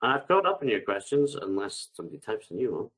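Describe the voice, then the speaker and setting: A man with a bad cold and a congested voice, answering webinar questions in a relaxed, hesitant way, reading each question aloud before replying, over a headset on a video call. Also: fairly low pitch, a little fast, rough recording. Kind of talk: live webinar Q&A, unscripted.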